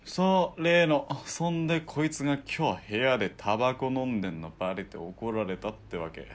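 A man playing a wry, casual ex-soldier turned hired killer in a radio drama, with rough, bantering speech. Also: mid-range voice, animated, moderate pace, a little hiss or hum, natural voice. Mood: bantering, defensive.

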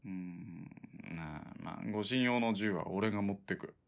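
A man acting in a Japanese radio drama as a reticent, gruff outlaw hitman with a terse, low-key way of talking. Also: measured pace. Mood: grudging, indifferent. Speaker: voice actor in an audio drama.

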